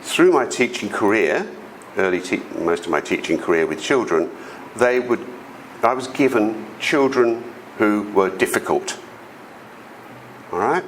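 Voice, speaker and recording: A middle-aged man with an Australian accent and a big voice tells personal stories to a conference audience. His speech sits low but swings widely as he acts out quoted voices. A faint hiss runs under the recording. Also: lively intonation, unhurried, long pauses, rough recording. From South Australia. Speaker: conference speaker. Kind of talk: storytelling presentation. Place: conference hall.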